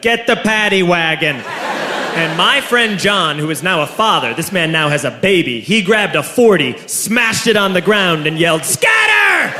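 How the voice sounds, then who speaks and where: A man's voice: sits high, background noise. Man doing stand-up in an echoey club. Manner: conversational, building to shouted punchlines. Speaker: stand-up comedian. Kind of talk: live stand-up storytelling. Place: live venue with an audience. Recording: echoey club with audience laughter.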